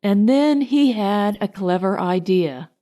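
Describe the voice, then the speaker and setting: A woman narrating a children's storybook in a measured, expressive storytelling manner. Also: medium pitch. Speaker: audiobook narrator.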